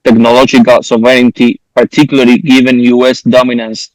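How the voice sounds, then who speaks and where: A man's voice: medium pitch, level tone, a little fast, darkish tone, no room sound, rough recording. A man moderating an online academic conference, relaying questions from the audience to the speaker.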